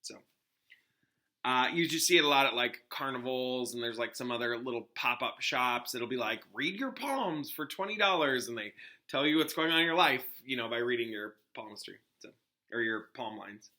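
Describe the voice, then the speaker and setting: A man's voice: medium pitch, normal speed, sharp tone. A man, a teacher, reading a story aloud expressively with casual explanatory asides.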